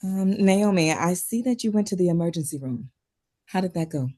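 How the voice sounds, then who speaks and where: Actress as female psychiatrist, lower-pitched, measured, concerned, guarded, professional, script table read. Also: lively intonation, dark tone. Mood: calm concern. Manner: measured, professional, hesitant at times.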